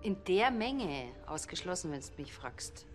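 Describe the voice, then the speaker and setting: Eine Schauspielerin spielt eine trockene, spöttische Pathologin mit bayerischem Akzent in einem Fernsehkrimi. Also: female voice, lowish voice, big pitch swings, measured pace, faint music behind. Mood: dry. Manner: dry, mocking. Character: sharp-tongued female pathologist.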